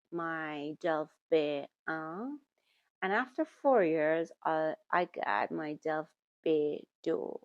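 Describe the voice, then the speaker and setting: A woman speaks to her viewers in a slow, deliberate way, often repeating words and correcting herself. Her voice sits fairly low and has a gravelly texture. The recording is close and dry. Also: no room sound. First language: German. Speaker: language-learning YouTuber. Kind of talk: talking to camera, giving tips.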